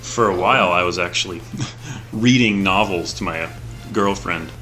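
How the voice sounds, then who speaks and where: A man with a deep, clear voice chatting casually before a tabletop game, laughing easily as he tells stories. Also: normal speed, sharp tone, soft background music, rough recording. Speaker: player. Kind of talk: casual pre-game chat.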